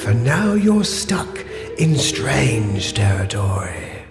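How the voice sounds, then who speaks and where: Slow, echoing male horror host, loud music. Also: medium pitch, big pitch swings. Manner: ominous, rhyming delivery. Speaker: story host. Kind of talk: theatrical spooky horror-host outro. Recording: echoey voice under loud music.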